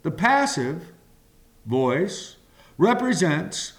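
Preacher guy talking super slow, kinda high voice, long pauses. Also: very animated. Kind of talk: slow communion sermon.

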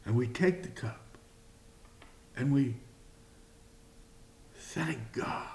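A man preaching a communion sermon slowly and deliberately, pausing between phrases. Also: a little high, a bit bright. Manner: slow and deliberate, with long pauses.

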